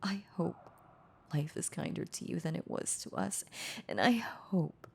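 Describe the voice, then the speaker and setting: A woman playing a heartbroken, tender former girlfriend in an intimate audio roleplay, confessing haltingly in a breathy, husky voice that slips into whispers. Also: lowish voice, big pitch swings, a little mellow, a little hiss or hum, heavily acted. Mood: tender, bittersweet. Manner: halting, confessional, repeating words, breaking into whispers.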